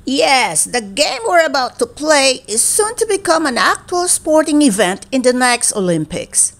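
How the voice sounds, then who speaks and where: A woman reading a storybook and voicing an excitable, pompous male umpire who makes up rules. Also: high voice, a little slow, pitched above the performer's own voice, full character voice. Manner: indignant, triumphant. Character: excitable man umpire, pompous game host.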